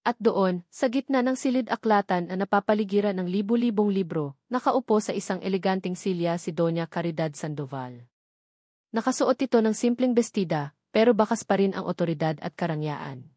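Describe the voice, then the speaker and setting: Female audiobook narrator for serialized drama. Measured, solemn storytelling, mid-range voice, dry recording. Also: mid-range voice, darkish tone, no echo.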